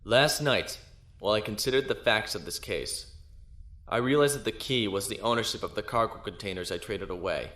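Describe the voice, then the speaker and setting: An actor playing an assured, defiant space-navy lieutenant who argues formally in a science fiction audio drama. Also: male voice, on the low side, moderate pace, a bit bright, lightly acted. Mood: confident, insistent. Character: defiant young male space-navy lieutenant defending himself. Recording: roomy echo.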